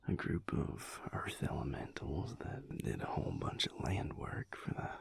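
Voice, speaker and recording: A man playing a laid-back, affectionate vampire lover in an intimate audio roleplay, with a Southern US drawl and a breathy, gravelly murmur, teasing lazily. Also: low-pitched, expressive, measured pace, in a whisper, full character voice. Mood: relaxed gossipy. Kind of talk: intimate audio roleplay, whispered pillow talk.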